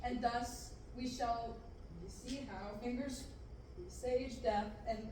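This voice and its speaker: A woman reading a slow menacing dark lord. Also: a little high, fairly even intonation, slight background noise. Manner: slow grandiose verse, breaking into laughs. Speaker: table-read actor. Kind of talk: amateur Shakespearean table reading. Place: large classroom, indoors. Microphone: far camera. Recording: echoey room from far off.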